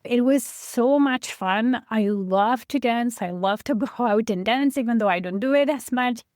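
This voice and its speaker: A woman's voice: a little high, a little slow, a little mellow, no room sound, studio quality. Chatty woman in her forties, light Polish accent. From Poland. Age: 40s. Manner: chatty, reflective, counts through a list. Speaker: podcast host. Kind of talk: relaxed solo podcast monologue.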